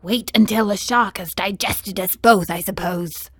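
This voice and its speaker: A woman voicing a resigned, philosophical tunny fish who speaks slowly and with calm dignity. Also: medium pitch, husky, no room sound, clean studio sound.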